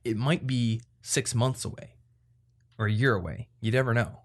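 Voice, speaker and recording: A man's voice: medium pitch, moderate pace. A man hosting an advice podcast, talking in a casual, conversational way as he explains things. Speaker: podcast host.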